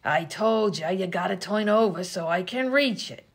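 A woman playing a gruff, bossy 1920s vaudeville comedienne, slangy and sarcastic, in an old-time radio comedy sketch. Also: medium pitch, expressive, normal speed. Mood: exasperated. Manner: brash, slangy, sarcastic insults.

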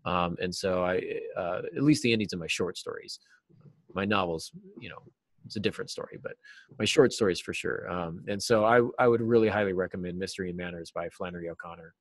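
A middle-aged American man giving informal, halting explanations as an interview guest on a video call. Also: low-pitched, no echo. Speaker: interview guest, novelist and writing teacher. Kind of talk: casual interview answers. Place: small cluttered office, on a video call.

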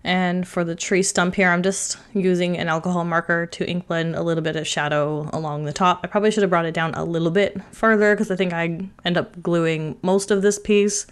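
A young woman talks through a crafting tutorial in a relaxed, chatty way, with plenty of filler words. She sounds stuffed up from a cold. Her voice sits low and comes across smooth and clear. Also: a little fast, few pauses. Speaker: card-making channel host. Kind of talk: casual crafting tutorial voice-over.

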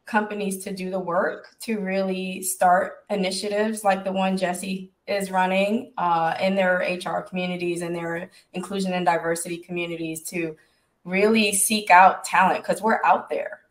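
A woman who runs a tech business, talking earnestly as a panelist on a video call from home. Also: lowish voice. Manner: earnest, measured, advocating.

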